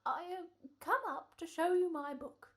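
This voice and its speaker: A woman's voice: very high-pitched, fairly even intonation, a little slow. A woman playing a polite, rambling old coffin salesman in a radio comedy-horror play. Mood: polite salesmanship. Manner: rambling, courteous, folksy patter.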